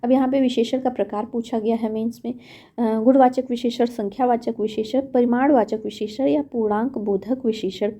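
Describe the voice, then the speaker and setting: High-voiced woman teaching grammar. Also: steady tone, normal speed, warm tone. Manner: patient, repeats points with thinking fillers. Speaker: exam prep teacher. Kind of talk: explaining grammar questions step by step.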